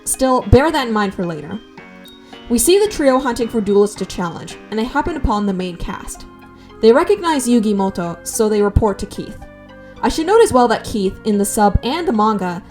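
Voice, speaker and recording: Woman doing video essay narration, bit high voice, wry chatty asides, soft music behind. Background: background music.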